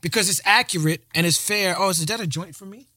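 A male podcast host tells stories in animated, rapid bursts during a loose interview. His voice is high and bright with a forward edge, and his speech is casual and full of swearing. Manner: animated storyteller, fast bursts, profane.

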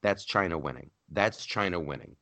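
A man co-hosting a podcast, in animated political chat, often repeating himself and mocking others in put-on voices. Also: sits low, moderate pace. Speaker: podcast co-host.

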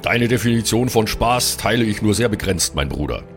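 A low-pitched, pompous scholar of a dwarf, played by a man, in an improvised fantasy audio play. He lectures in long, wordy sentences like a hungry know-it-all brother, with music behind him. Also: animated, moderate pace. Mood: disapproving.

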